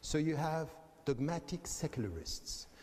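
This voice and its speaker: Man from Switzerland, academic guest, measured, mellow dark tone, hall reverb. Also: a little high, sing-song. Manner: measured, explanatory, repeats for emphasis. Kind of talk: debate answers.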